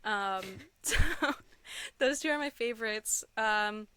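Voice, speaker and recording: A woman's voice: a little high, takes it slowly, pushed and bright, clear, resonant tone, no echo. A young adult woman chatting casually and enthusiastically as an interview guest, often giggling as she talks. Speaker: interview guest, content creator. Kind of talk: casual chat, answering interview questions.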